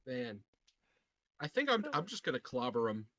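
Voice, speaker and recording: A man's voice: medium pitch, very animated, normal speed, fairly bright tone, no room sound. A man playing a tabletop roleplaying game, talking casually and jokingly about his moves. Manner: jokey, playful.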